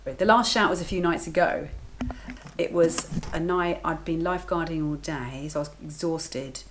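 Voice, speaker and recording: A woman's voice: low voice, normal speed, noisy background. A woman in her thirties talking casually to the camera in her home kitchen, matter-of-fact and chatty. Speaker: vlogger, lifeguard and working mother.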